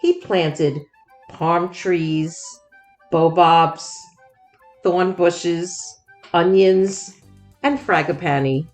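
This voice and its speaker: A woman narrating a children's storybook expressively, with deliberate, dramatic phrasing. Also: medium pitch. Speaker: children's book read-aloud host.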